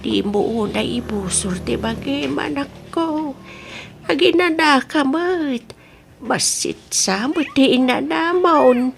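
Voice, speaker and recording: Casting: woman as caring, sorrowful Filipino grandmother for audio drama, gravelly, higher-pitched voice. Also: lively intonation, slow, a little hiss or hum, heavily acted. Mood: concerned, weary. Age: older. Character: frail elderly widower's mother, caring and sorrowful grandmother. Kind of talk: Ilocano audio drama acting.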